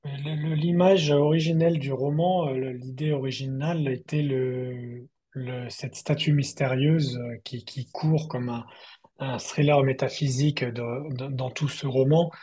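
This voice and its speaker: A male novelist from southern France in a reflective online interview, speaking thoughtfully and haltingly, often restarting his words. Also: mid-range voice, steady tone, warm tone, slightly rough. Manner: thoughtful, halting delivery with repeated word starts. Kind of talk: reflective online literary conversation. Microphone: online video call.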